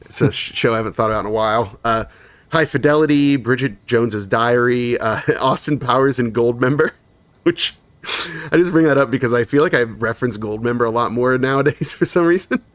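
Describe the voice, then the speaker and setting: A man's voice: mid-range voice, fairly quick, no room sound. A man co-hosting a podcast in casual chat, reading long lists of credits with frequent laughs and fillers. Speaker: podcast co-host.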